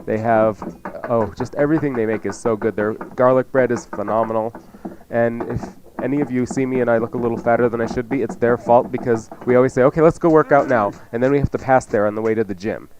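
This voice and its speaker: A man's voice: mid-range voice, steady tone, fast, hardly any pauses, darkish tone, muffled recording, slight background noise. A man hosting a cooking show in a casual, chatty, joking way as he explains recipes. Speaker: cooking show host and chef. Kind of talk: casual cooking demonstration chat.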